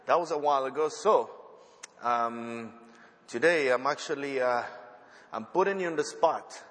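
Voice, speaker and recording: A halting missionary preacher in a reverberant church. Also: male voice, medium pitch, a bit bright. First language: not English. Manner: halting, many fillers and restarts, self-joking. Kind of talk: sermon. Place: church sanctuary.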